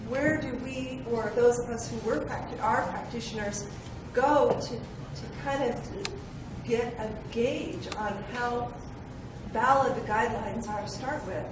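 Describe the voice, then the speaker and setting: A woman with a loud voice asking a careful, thoughtful question from the audience at a seminar. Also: on the high side, unhurried, noisy background. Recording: echoey room, far from the mic.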